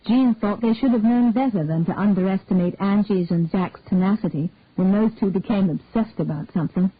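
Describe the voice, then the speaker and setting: A woman calmly narrating a detective story in a measured reading voice. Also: mid-range voice, husky. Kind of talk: audiobook-style story reading. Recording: dry, tinny phone-like sound.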